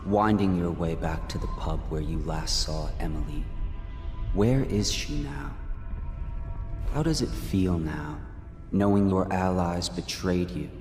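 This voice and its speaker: A mysterious otherworldly watcher in a game, speaks slowly and low. The tone is detached, philosophical and faintly taunting, wrapped in long reverb. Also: male voice, darkish tone, soft background music. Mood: cool, taunting. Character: detached, mysterious supernatural observer, coolly fascinated.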